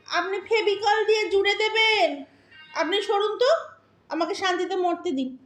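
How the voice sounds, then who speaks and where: Very high-pitched, sharp-tongued young woman played by actress. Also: normal speed. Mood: sarcastic, impatient. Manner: sarcastic, bossy, theatrical. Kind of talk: Bengali audio drama acting. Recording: roomy, echoing voice recording.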